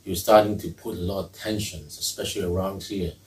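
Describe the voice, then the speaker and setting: A man with a fairly low voice, talking casually as a singer guest in an interview. His speech is halting and full of restarts. The sound is roomy and picked up from a distant mic. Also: steady tone. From Hong Kong. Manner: chatty and halting, with frequent restarts and repeated words. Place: music academy room.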